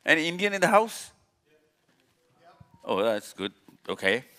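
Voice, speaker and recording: A man's voice: a little high, very animated, a little slow, bright, forceful tone. A man speaks live to an audience, direct and teasing, often repeating himself. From Pakistan. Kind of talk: live talk with audience interaction. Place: large event hall. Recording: long hall reverb at a live event.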